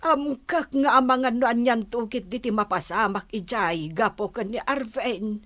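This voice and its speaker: A woman's voice: a little high, normal speed, a bit bright, no room sound. A woman with an Ilocano accent playing a concerned, cautioning mother in a radio drama. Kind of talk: Philippine radio drama acting. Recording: music under the voice.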